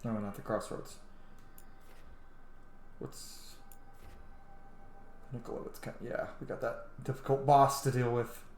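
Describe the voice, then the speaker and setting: A man's voice: medium pitch, steady tone, slow pace, long pauses, a little mellow. A man giving casual, informal gameplay commentary, muttering asides to himself and swearing now and then. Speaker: gaming streamer, let's-play host. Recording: roomy sound with faint noise.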